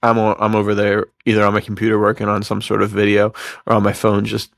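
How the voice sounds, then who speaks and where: A man's voice: fairly low pitch, completely flat delivery, fast, gravelly, no echo, studio quality. A man chatting casually as a podcast co-host, with a slangy, self-deprecating way of talking. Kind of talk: casual chat.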